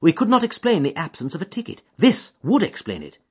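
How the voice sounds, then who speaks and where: A man reading an audiobook voices an eager, high-strung detective who reasons aloud quickly and emphatically. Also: huge pitch swings, a little mellow, breathy edge, full character voice. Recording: dull lo-fi audiobook recording.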